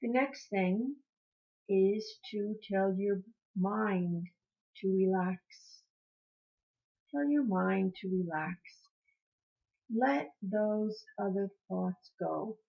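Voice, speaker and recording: A woman leads a guided meditation at a markedly slow pace. Her voice sits at a medium pitch, and she soothes and encourages as she repeats phrases. The recording sounds dull and lo-fi. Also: creaky. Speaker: meditation teacher.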